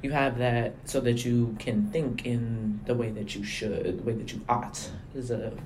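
Man, medium pitch, co-host, informal reflective chat, everyday examples, faint background noise. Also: steady tone, measured pace, darkish tone. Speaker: podcast co-host.

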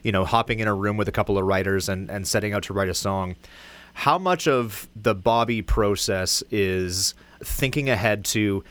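A man's voice: on the low side, normal speed, a bit bright, no room sound. A man asking conversational questions as an interviewer.

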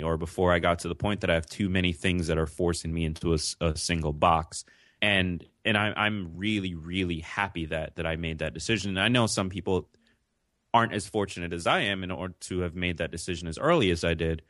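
A man's voice: deep voice, a bit bright, no room sound, clean studio sound. A young man, born in Jamaica, answering interview questions in an earnest, reflective way with frequent restarts. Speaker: interview guest. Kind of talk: conversational interview.

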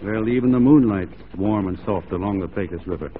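An actor playing a folksy, easygoing Texas Ranger with a drawling Texan accent, in 1940s radio drama style. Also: male voice, fairly low pitch, fairly quick, close to an everyday voice. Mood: shyly flirting. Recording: tinny, noisy 1940s radio recording.

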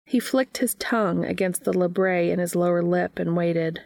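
A woman reads fiction aloud as a podcast host and narrator. Her voice sits fairly low, with plenty of vocal fry. The sound is close and very dry. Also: fairly even intonation, moderate pace, a little mellow, no room sound. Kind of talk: scripted audiobook-style narration of fan fiction.

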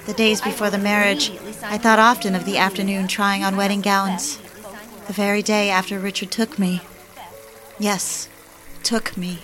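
A woman's voice: mid-range voice, level tone, moderate pace, lightly acted. An actress playing a wistful, obsessive young newlywed who confides her story in genteel, literary dramatic narration. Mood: wistful, confiding. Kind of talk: first-person dramatic narration for an audio drama. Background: background music.

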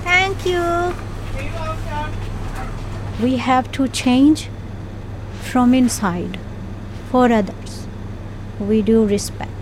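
A woman with a Pakistani accent and Punjabi mother tongue, chatting casually in halting, simple non-native English phrasing. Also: high voice. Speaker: corner shop keeper. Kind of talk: casual conversational interview. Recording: noisy ambience with vehicle sounds.

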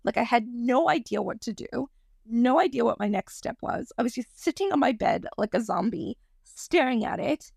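A woman in her thirties hosts a podcast alone, with a croaky, gravelly voice pitched a little high. She rambles in a casual, self-deprecating way and apologizes when she drifts off the point. The sound is dry and close. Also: lively intonation, moderate pace, darkish tone, no echo. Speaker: podcast host. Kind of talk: casual solo podcast monologue.

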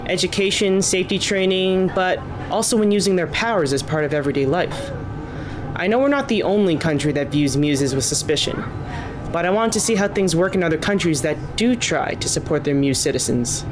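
A woman playing a polite, earnest reformer in a naturalistic audio drama, with courteous, careful, measured phrasing. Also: on the low side, animated, background noise, close to an everyday voice. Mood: earnest, hopeful.

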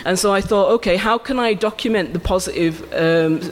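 High-voiced man, lively storyteller. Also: brisk. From England. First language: Urdu. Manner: animated, laughing storyteller. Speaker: photographer guest. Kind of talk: live stage conversation. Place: arts venue stage before a live audience. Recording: live hall reverb with faint ambience.

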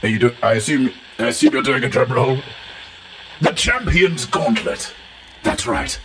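A man's voice: medium pitch, big pitch swings, normal speed, very noisy, natural voice. A man voicing a pompous, bumbling professor who rambles in flowery speech with blunt asides, in a comic fantasy game. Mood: grandiose. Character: pompous, bumbling, long-titled male professor of adventure lore. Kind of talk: comic in-character monologue as a recorded message.

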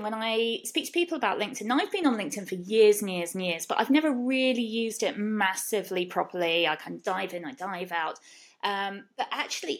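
A woman hosting a podcast interview, asking her questions in a rambling way with lots of fillers and restarts. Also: medium pitch. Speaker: podcast host, web designer. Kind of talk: casual interview chat.

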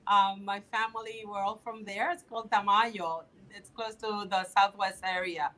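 A woman's voice: medium pitch, fairly even intonation, normal speed, bright, forceful tone, low-quality recording. A woman in her fifties from the Dominican Republic, a political candidate answering interview questions in an eager way with frequent fillers. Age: 50s.

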